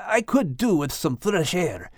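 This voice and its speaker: A man's voice: fairly high pitch, very animated, measured pace, no echo, studio quality. A man voicing a troubled, earnest furry animal with a folksy, pleading manner in an audiobook. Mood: wistful. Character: troubled, earnest furry animal, male, self-doubting friend. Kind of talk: audiobook character voice.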